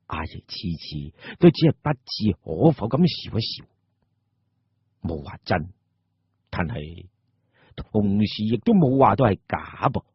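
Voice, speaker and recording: Male Cantonese storyteller: very raspy, suspenseful dramatic narration, deliberate pauses, dull close dry sound. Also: lowish voice, no room sound. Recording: muffled, dull audio.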